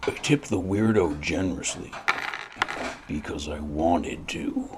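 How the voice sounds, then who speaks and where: Over clear background noise comes a man acting as a noir private eye with a very low voice. He is gloomy and world-weary, delivering hard-boiled narration and dry retorts in a brooding deadpan. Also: a little slow.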